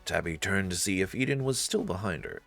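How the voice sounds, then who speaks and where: A man's voice: deep voice, huge pitch swings, a little fast, gravelly, a bit bright, faint music behind. A man narrating a visual novel as a dramatic story, reading aloud for a let's play.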